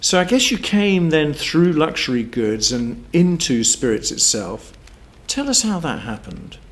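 A man's voice: a little high, sing-song, a little slow. A middle-aged man putting formal, prepared questions as an interviewer. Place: wood-panelled room, indoors.

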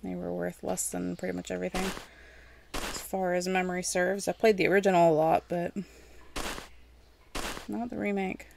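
A woman's voice: on the low side, flat delivery, normal speed, gravelly, pauses often, background noise. A woman giving casual, rambling gameplay commentary, chatty and self-deprecating, talking to the game's characters as she plays. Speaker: gaming video host.

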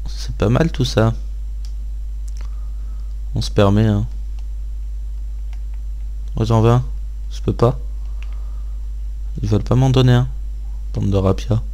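A man's voice: mid-range voice, takes it slowly, long pauses, dark tone. A man hosting a gaming video, giving casual gameplay commentary and thinking aloud in an informal way.

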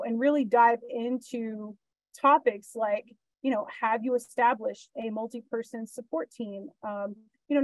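A woman's voice: on the high side, a little mellow, no echo, rough recording. A woman speaking as an emergency management panelist on a video call, earnest, with many "you know" fillers. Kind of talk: panel discussion.

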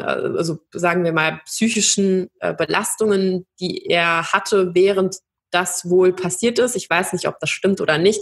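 A young woman with a slightly low voice speaks slowly and reflectively. She pauses often and fills the gaps with hesitant sounds as she thinks through each sentence. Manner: thoughtful, many hesitations and fillers. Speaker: podcast guest. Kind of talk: personal interview.

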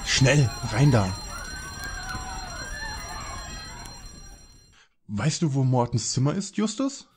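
A man playing an excitable, fretful teenage detective in an audio drama parody. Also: medium pitch, moderate pace, background noise. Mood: alarmed.

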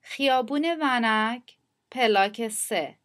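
A woman's voice: on the high side, brisk, fairly bright tone. A woman speaking short scripted dialogue lines in a language lesson as a model for learners. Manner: crisp model phrases for learners.

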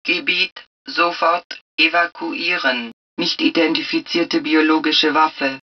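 A woman voicing the calm computer of a powered combat suit in a video game, giving clipped, impersonal, matter-of-fact system announcements. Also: low voice, slow pace, far from the mic, dull audio. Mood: impersonal, alert.

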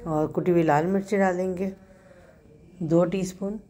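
A woman with a Pakistani Urdu accent and a hoarse, sore throat, chatting through a home recipe step by step and correcting herself as she goes. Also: fairly low pitch, fairly even intonation, measured pace, mellow. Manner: chatty, explains step by step with small self-corrections. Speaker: home cook host. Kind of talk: casual cooking narration.

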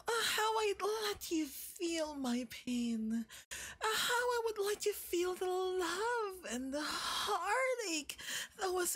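A woman's voice: very high voice, no room sound, heavily acted. A woman voicing a deranged, lovesick young male werewolf captor in a theatrical ASMR roleplay, swinging between sobs and menace. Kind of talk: ASMR roleplay, dramatic monologue.

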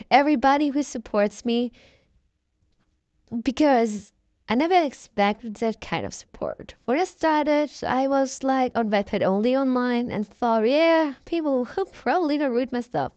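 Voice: sits high, darkish tone, dry recording, lo-fi sound. A young-sounding voice run through a voice changer, chatting casually in a rambling vlog. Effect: voice changer filter. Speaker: content creator.

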